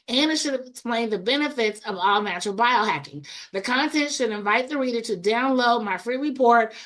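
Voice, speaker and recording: Hoarse woman coaching through a live lesson. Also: a little high, a bit bright, rough recording. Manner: reads example prompts aloud with casual asides and tag questions. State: hoarse after a cold. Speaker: online marketing coach and show host. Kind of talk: weekly instructional livestream.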